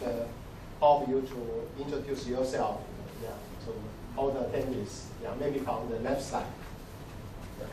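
A man opening a training workshop, speaking haltingly with many fillers into a handheld microphone in a conference room. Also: mid-range voice. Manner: gestures while speaking, halting and repetitive. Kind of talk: hesitant spoken welcome with fillers. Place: large conference room with projector screens. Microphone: handheld microphone, recorded by a far camera. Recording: echoey room from far off, noisy.

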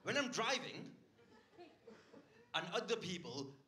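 High-pitched grumpy British male stand-up comedian. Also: big pitch swings, takes it slowly, bright, forceful tone. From United Kingdom. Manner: grumpy ranting, swearing. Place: on stage in a theatre. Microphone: handheld microphone. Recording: echoey theatre, noisy live room.

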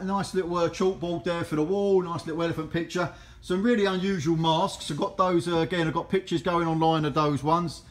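A man with a Cockney accent giving a jokey, rambling walk-round of items before an auction, filmed on a handheld phone in a large indoor warehouse. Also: fairly high pitch. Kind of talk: casual walk-through commentary. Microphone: handheld phone camera, speaker off-screen. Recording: echoey warehouse room.

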